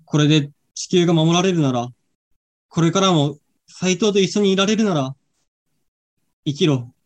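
Radio drama friend: male actor as earnest, unsure young man, fairly high register, natural amateur delivery. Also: normal speed, lightly acted. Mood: anguished, hesitant. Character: anguished, hesitant young man, loyal friend.

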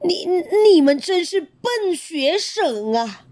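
A woman's voice: lively intonation, drawn-out words, dark tone. Woman putting on a grumpy bear teacher. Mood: exasperated. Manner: exasperated, low and drawn out. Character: grumpy slow-talking male bear teacher.

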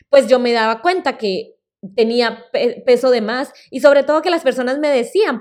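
A woman's voice: high voice, a little mellow. A woman in her thirties with a Colombian accent, chatting casually as she tells her own story on her solo podcast. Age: 30s. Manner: chatty and personal, uses fillers like "eh" and "pues". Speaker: podcast host.

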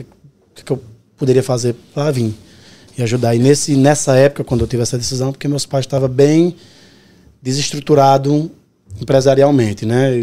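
A man's voice: mid-range voice, warm tone. A man in his thirties with a Pernambuco accent, a podcast guest earnestly telling his story step by step. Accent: Pernambuco Brazilian Portuguese. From Pernambuco, Brazil. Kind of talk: casual podcast interview.

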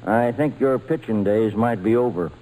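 An actor playing a steady, fatherly baseball manager in an old-time radio drama, speaking in an avuncular, persuasive way. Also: on the low side, moderate pace, lightly acted, rough recording. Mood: reassuring, persuasive.

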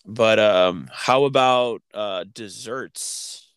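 A man chatting casually as a podcast co-host, haltingly thinking aloud as he goes. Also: on the low side, a bit bright, clean tone, no room sound. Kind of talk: casual chat.

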